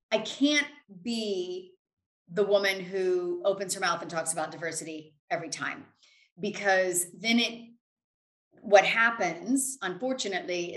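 A woman's voice: medium pitch, a bit bright. A middle-aged American woman giving emphatic, self-assured, conversational answers as an interview guest on a video call. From United States. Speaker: interview guest, finance expert. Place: indoors in a home room. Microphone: video call, computer at desk distance. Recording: roomy, echoing video call.

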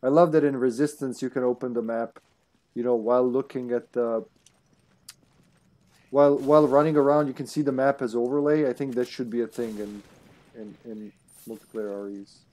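A man's voice: medium pitch, steady tone, warm tone. A man in his thirties chatting casually and joking while streaming a co-op game from home. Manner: joking, chatty, quick laughs. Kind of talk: casual co-op gameplay chat.

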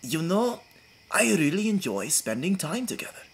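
Old sailor, male actor, playful drinker, pirate talk, animated, for anime parody. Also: fairly high pitch, normal speed, slightly rough, full character voice. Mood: smug. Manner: hearty pirate-like speech. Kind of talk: comedic anime parody dub.

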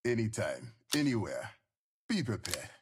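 A young, spirited survival expert from a shooter game. His husky voice swings widely in pitch. He delivers short squad callouts at an unhurried pace, casual and streetwise. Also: very animated, exaggerated voice. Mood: easygoing, confident.

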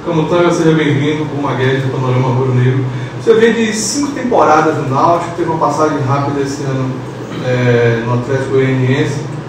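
A man's voice: medium pitch, a little fast. A man with a Brazilian accent asking a long, wordy question at a press conference. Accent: Brazilian Portuguese. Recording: echoey far press room with noise.

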